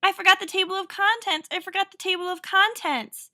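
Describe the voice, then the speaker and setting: A fussy male storybook narrator who likes to butt in, played by a woman. The voice is high and bright, with an urgent, exclamatory delivery. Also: normal speed, no room sound, studio quality. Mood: flustered.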